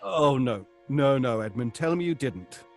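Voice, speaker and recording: Exasperated theatre actor for horror drama: very animated intonation, fussy, melodramatic. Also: male voice, medium pitch, a little slow, soft background music. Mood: indignant. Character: exasperated male stage actor in rehearsal. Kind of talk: horror audio drama acting.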